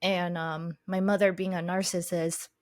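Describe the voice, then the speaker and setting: Soft-spoken woman, lowish voice. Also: flat delivery, moderate pace, no echo, studio quality. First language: Chinese language. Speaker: podcast guest, life coach. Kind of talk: personal storytelling in an interview.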